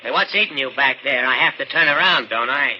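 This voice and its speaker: An actor playing a gruff, sardonic tough American city cab driver in an old radio thriller. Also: male voice, medium pitch, fast talker, a little hiss or hum, natural voice. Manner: gruff, mocking, street-slangy. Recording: dull vintage radio recording with loud music.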